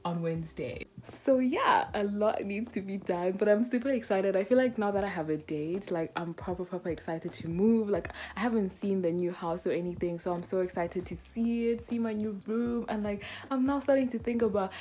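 A woman's voice: medium pitch, moderate pace, hardly any pauses, mellow. A woman in her twenties chatting casually to her vlog in an informal, emphatic way, recorded on a phone at arm's length in a small bedroom. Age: 20s. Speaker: vlogger, university student.

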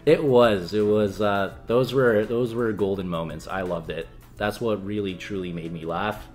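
A man's voice: fairly low pitch, fairly even intonation, moderate pace, faint music behind. A man in his twenties co-hosting a casual film review in a small living room, talking with exasperated scorn. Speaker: film review show co-host.

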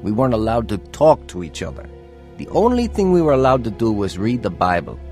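A man's voice: fairly low pitch, sing-song, fairly quick, a little mellow. An actor playing a rebellious, hot-tempered young sailor telling his life story in a radio drama. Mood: reflective narration. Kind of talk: dramatized radio testimony, narration and dialogue. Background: music.

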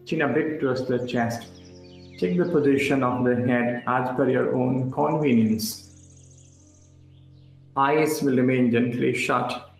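Slow-paced man guiding relaxation. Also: medium pitch, creaky. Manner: calm, instructional, short phrases with pauses. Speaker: yoga instructor. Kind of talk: guided relaxation meditation. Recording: roomy echo with music underneath.

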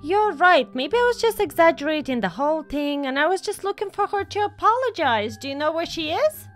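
A woman's voice: high-pitched, very animated, fairly quick, soft background music. A woman playing a scheming, guilt-tripping mother in an amateur role-play story, with an emphatic way of talking. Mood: falsely sweet.

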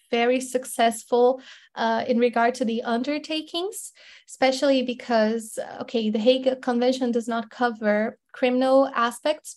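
A woman speaks over a laptop video call, explaining legal matters thoughtfully. Her tone sits a touch high but stays notably flat, with little rise and fall. She often restarts phrases and pauses on fillers as she works through her points. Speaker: webinar panelist, lawyer. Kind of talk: expert webinar talk. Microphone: laptop mic on video call.

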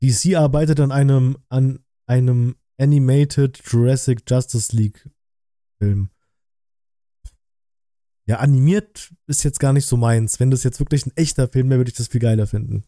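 A man's voice: mid-range voice, fairly even intonation, moderate pace, dark tone, no echo. A man, giving casual livestream commentary as a reaction host, close to the microphone indoors. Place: indoor room at home. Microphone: close streaming microphone.

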